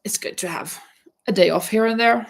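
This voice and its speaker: A woman's voice: mid-range voice, a little fast, creaky. A middle-aged woman hosting a live crafting stream, chatting casually and reading viewer comments aloud, her voice nasal from a stuffy nose. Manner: chatty, reads viewer comments aloud, hums while working. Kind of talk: casual chat while crocheting.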